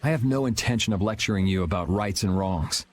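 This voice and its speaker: A man's voice: on the low side, brisk, slight background noise, natural voice. A man playing a cool, blunt young swordsman with a dry, matter-of-fact manner in an anime game dub. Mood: stern, dismissive. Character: cool, blunt young swordsman, world-weary but principled.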